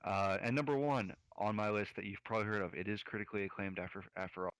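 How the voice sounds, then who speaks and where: A man's voice: on the low side, fairly even intonation, quick pace, fairly bright tone, no room sound, low-quality recording. A man from the United States co-hosting a casual podcast, rambling with lots of fillers and "like"s. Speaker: podcast co-host.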